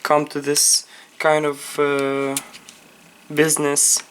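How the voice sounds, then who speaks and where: Low, flat-voiced Ukrainian-accented woman. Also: a little hiss or hum. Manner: halting, repetitive phrasing in non-native English. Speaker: phone repair technician presenting a tutorial. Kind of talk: unscripted hands-on repair tutorial.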